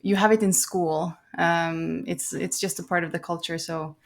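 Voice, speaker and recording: A woman with a Norwegian accent, a guest chatting in a relaxed, loose way in an interview. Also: on the low side, normal speed, creaky. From Norway. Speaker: guest adventurer. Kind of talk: casual interview chat.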